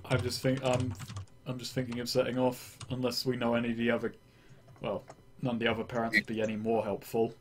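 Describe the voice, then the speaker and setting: A man's voice: medium pitch, monotone, a little fast, slight background noise. A man playing in a tabletop game, chatting casually and making wry jokes. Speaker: player.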